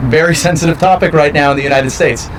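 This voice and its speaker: A man's voice: on the high side, fast talker, noisy background. Boastful man, distant mic. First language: not French. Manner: confident, boastful. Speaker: professional wrestler. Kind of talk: interview answers.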